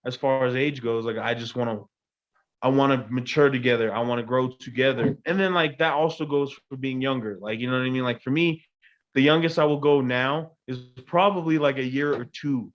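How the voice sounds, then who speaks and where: A man in his twenties, a podcast guest, telling a personal story in a casual, animated way, often checking in with the listener. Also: medium pitch, a little fast, highs cut off. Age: 20s. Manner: animated storytelling, frequent "you know what I mean". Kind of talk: casual chat.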